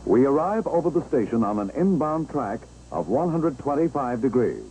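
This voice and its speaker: An American man narrating a 1960s instructional film, explaining step by step in a measured, clear way. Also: mid-range voice, sing-song, warm tone, rough recording. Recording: old 1960s film soundtrack, engine-like background noise.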